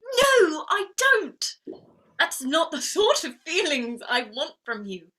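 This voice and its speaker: A woman's voice: extremely high pitch, sing-song, slow pace, sharp tone, heavily acted. A woman playing a proud, wounded young flower girl turned lady in a theatrical play reading, defiant and plaintive. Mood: tearful, proud. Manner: defiant, plaintive, emotionally outspoken.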